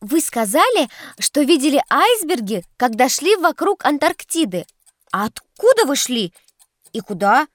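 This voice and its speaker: Animated, high-voiced inquisitive child. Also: female voice, measured pace, dry recording, clean studio sound. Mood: curious. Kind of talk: scripted educational audio dramatization.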